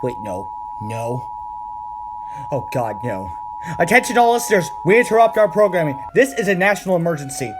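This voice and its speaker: A jittery male radio DJ, put on by a man, his voice pitched up and strained as he breaks into alarm. He blurts out mild comic oaths, and loud music runs underneath. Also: sing-song, moderate pace. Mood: panicked. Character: panicking male radio station DJ.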